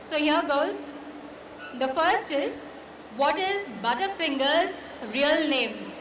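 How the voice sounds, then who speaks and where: A woman's voice: high-pitched, expressive, slow pace. A woman hosting a live quiz at an event, putting questions to the audience. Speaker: magazine editor and quiz host. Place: comic convention event. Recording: echoey noisy crowded hall, distant pickup.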